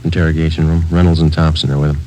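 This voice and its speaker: An actor playing a terse male police detective who narrates in a clipped, flat, matter-of-fact way in a 1950s radio drama. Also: a bass voice, racing, a little hiss or hum, rough recording. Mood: calm. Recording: old radio broadcast with music beneath.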